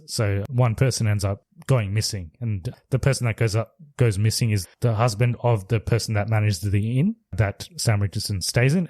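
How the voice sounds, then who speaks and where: A man's voice: fairly low pitch, darkish tone, no echo, clean studio sound. A man co-hosting a podcast, casually retelling a movie plot in a loose, rambling way with restarts. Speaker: podcast co-host. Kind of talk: casual chat reviewing movies.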